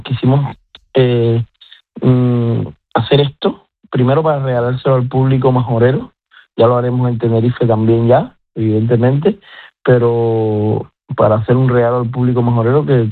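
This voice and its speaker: A man's voice: mid-range voice, no echo. Cuban-accented man on a phone line. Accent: Cuban Spanish. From Cuba. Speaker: chef and guest. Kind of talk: phone-in radio interview. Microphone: telephone.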